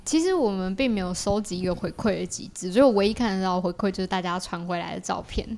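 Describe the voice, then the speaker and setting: A woman's voice: mid-range voice, fast, vocal fry, darkish tone. A woman with a Taiwanese Mandarin accent, a podcast guest explaining her creative work in a casual conversational way. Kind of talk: casual explanatory interview talk.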